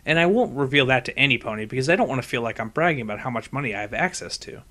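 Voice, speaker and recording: A man's voice: medium pitch, expressive, very fast, sharp tone. Man voicing a modest, studious young unicorn mare. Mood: modest, reluctant. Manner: polite, hesitant, earnest. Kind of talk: fan fiction audiobook character voice.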